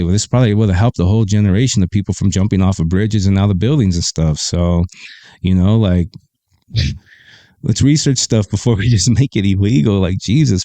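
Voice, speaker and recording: A man hosting a podcast, talking in a casual, laid-back and opinionated way with a deep, bassy voice, sometimes reading from a web page into a close desk mic. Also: mellow, dry recording. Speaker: podcast host. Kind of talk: casual livestream talk.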